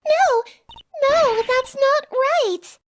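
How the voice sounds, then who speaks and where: A woman's voice: very high voice, drawn-out words, background noise, heavily acted. A woman voicing a petulant, evasive young woman in a video game fan dub, girlish and snippy. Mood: flustered, defensive.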